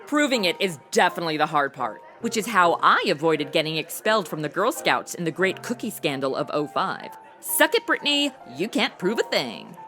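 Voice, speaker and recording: A woman's voice: fairly low pitch, very animated, fairly quick, hardly any pauses, bright, forceful tone, faint music behind. An actress playing a flippant, self-absorbed modern young woman in a comedy audio drama, breezy, sassy and slangy. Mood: cocky. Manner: sassy, breezy, slangy, valley-girl phrasing.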